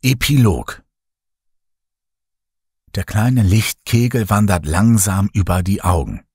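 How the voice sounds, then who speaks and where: Male horror-audiobook reader; husky, fairly low, measured and dramatic, dry close recording. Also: darkish tone, no room sound. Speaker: horror story narrator. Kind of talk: scripted audiobook narration.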